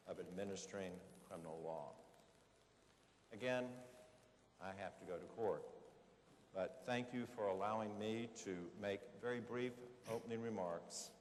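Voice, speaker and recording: Man, lowish voice, formal prepared speech, measured phrasing, reverberant hall, faint noise. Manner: measured, pausing between short phrases. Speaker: speaker giving opening remarks. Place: large hall at a public event. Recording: echoey hall, background noise.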